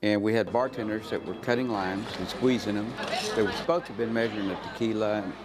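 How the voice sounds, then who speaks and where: An older man from Dallas whose first language is Spanish, recounting his story in an interview at an unhurried pace. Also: fairly low pitch, steady tone, very noisy. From Dallas, Texas. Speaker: interviewee, restaurant owner.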